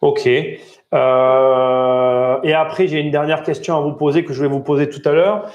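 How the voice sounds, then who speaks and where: A man's voice: on the high side, normal speed, highs cut off. Man talking in an echoey room. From southern France. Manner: polite probing questions, then lively debrief. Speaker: podcast host. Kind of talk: phone interview, then commentary to listeners.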